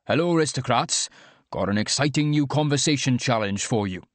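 Man doing an oblivious, animated young influencer. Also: medium pitch, fairly quick, no room sound, close to an everyday voice. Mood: chirpy, earnest. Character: oblivious, upbeat young male influencer livestreaming to fans. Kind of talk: audiobook character dialogue.

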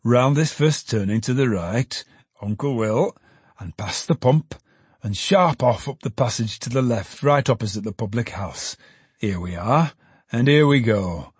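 A man voicing an old male ticket porter who talks quickly and breathlessly, fussing and chattering. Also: medium pitch, expressive, husky, no echo. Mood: bustling.

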